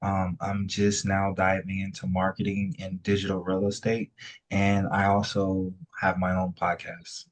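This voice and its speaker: A man in his thirties, a dating show guest, speaking in a measured, reflective way. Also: low-pitched, monotone. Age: 30s.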